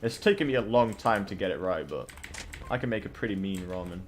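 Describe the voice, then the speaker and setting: A young man from Wales with a slightly low voice, chatting casually on a live stream. He rambles, restarts his sentences and drops in swear words as he goes. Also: animated, a little fast. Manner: rambling, lots of fillers and swearing.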